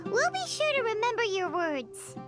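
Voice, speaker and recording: A woman voicing a tiny, excitable childlike fairy companion in a video game, squeaky and cheeky. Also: normal speed. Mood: earnest. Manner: speaks of self in third person. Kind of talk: video game character acting. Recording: loud music under the voice.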